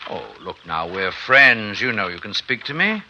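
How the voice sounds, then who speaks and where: A kindly, reassuring clergyman in a 1950s radio drama. His chatty delivery moves freely up and down in a very bright voice, over faint old-recording noise. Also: fairly low pitch, sing-song, normal speed, full character voice. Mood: concerned, gentle. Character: genial, kindly clergyman, loyal male friend.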